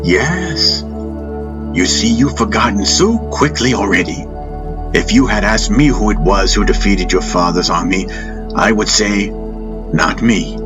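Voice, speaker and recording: A man voicing a teasing, wise old warrior master in an audiobook, with a playful, mock-modest and deliberate way of talking, over background music. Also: lowish voice, expressive. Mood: gently instructive.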